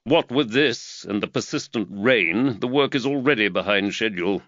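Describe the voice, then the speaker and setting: A man voicing an earnest middle-aged London magistrate who lectures with energy, higher and louder than his own voice. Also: normal speed, dry recording, muffled recording. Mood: brisk. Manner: lively, preachy, warms to his theme.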